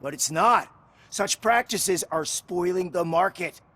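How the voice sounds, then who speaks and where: High-voiced, streetwise man. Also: expressive, unhurried, fairly bright tone, heavily acted. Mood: insistent, annoyed.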